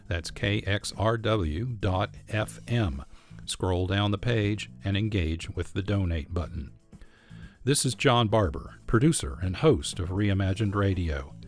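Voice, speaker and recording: An American man speaks as a radio host in a fairly low voice. He reads scripted narration in measured, clearly phrased sentences, with faint music behind him.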